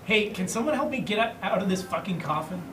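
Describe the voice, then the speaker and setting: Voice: mid-range voice, animated, quick pace, crowd noise, close to an everyday voice. A performer at a comedic table read playing a whiny, insecure male actor who is sarcastic and blustering. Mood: irritated. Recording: echoey room, distant mic, music behind.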